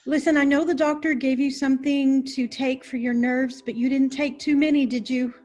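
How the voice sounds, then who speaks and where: Actress as high-pitched exasperated bossy theater woman. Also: fairly even intonation, normal speed, darkish tone, natural voice. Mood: coaxing, concerned. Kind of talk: comedic web series acting.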